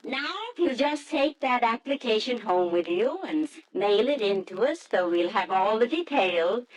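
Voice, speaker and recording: An actress playing a formal, businesslike adoption agency official in a 1940s radio drama, speaking with measured, polite firmness. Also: female voice, medium pitch, lively intonation, natural voice, poor audio. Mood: formal, brisk.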